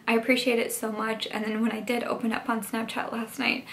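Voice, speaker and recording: A young woman beauty vlogger speaking candidly to her viewers, earnest and hesitant, with many pauses and restarts. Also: on the high side, little pitch movement, vocal fry, fairly bright tone, a little hiss or hum. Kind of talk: candid apology vlog to camera.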